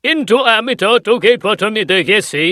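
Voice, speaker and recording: Poor pleading woodcutter father , voiced by a man: high, bright, dry studio read. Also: animated, moderate pace, no echo. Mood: worried. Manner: pleading, tender.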